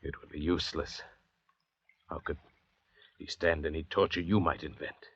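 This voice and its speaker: A man's voice: rumbling low, steady tone, moderate pace, fairly bright tone, husky, heavily acted. A man playing an arrogant, learned castaway doctor in a 1940s radio drama, weary and theatrically lecturing. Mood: obsessive, grim. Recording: dull 1940s radio recording.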